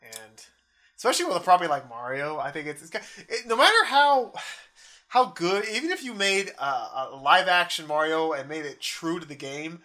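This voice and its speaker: Male podcast co-host, fairly high and very bright voice, opinionated, conversational. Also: very animated, moderate pace. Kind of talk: casual chat about game movies.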